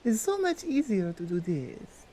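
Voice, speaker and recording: A woman voicing a dominant yet tender female Krampus in an ASMR roleplay, with a Nordic accent and halting, deliberate broken English. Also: medium pitch, sing-song, warm tone, slight background noise. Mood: teasing, doting. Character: dominant, tender, seductive female Krampus, otherworldly gift-bringer.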